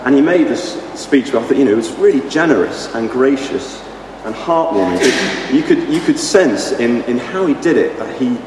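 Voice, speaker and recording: Croaky male preacher, hesitant and restarting, heard from across a reverberant hall. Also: mid-range voice, lively intonation. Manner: halting, with many restarts and ums. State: slightly croaky voice. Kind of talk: sermon. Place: church hall. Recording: echoey hall from far off, noisy.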